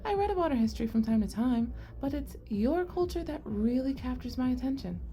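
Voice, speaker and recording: A woman's voice: high-pitched, animated, a little fast, warm tone. A woman playing a shy, curious, nerdy young goblin girl in an ASMR roleplay, speaking earnestly and expressively to the listener. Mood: cheerful, eager.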